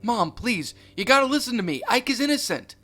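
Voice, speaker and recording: Brief: man voicing a scared cartoon kid, high-pitched, urgent and pleading, bright tone. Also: sing-song, brisk, faint music behind, full character voice. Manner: desperate, pleading. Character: panicked young boy pleading with his mother.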